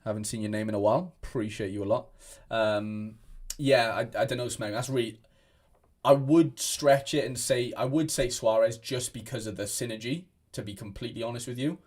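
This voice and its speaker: A man's voice: medium pitch, normal speed, darkish tone. A young man from Wales, chatting casually on a livestream at his desk, rambling with frequent restarts while answering viewer comments. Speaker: streamer. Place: indoor home room at a desk.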